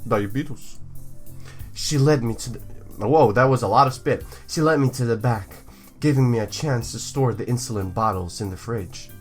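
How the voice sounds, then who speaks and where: A man's voice: medium pitch, moderate pace, breathy edge, soft background music. A man from the United States hosting a gaming video, moving between reading narration aloud and jokey, goofy commentary. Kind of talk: let's play commentary and narration.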